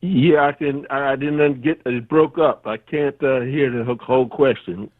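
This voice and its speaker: An older man speaking as a remote guest, measured and cautious in his replies. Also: mid-range voice, no room sound. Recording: tinny phone line.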